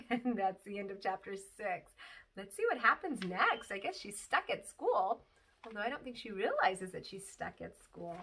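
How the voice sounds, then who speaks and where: A woman's voice: medium pitch, sing-song, moderate pace, a bit bright, far from the mic, slight background noise. A woman teacher reading a children's book aloud expressively, with asides to her listeners.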